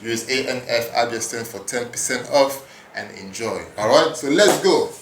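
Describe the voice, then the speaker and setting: Man, 30s, raised in France, podcast host, casual monologue, animated, gym recording, echoey room, faint background noise. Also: medium pitch, normal speed. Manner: animated, hyping, swears casually. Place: gym at work.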